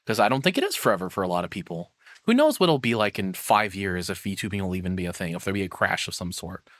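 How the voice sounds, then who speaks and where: A man's voice: low-pitched, big pitch swings, fast talker, a bit bright, dry recording, studio quality. A man streaming a casual, opinionated rant, rambling and self-deprecating as he chats with viewers. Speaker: streamer and VTuber host.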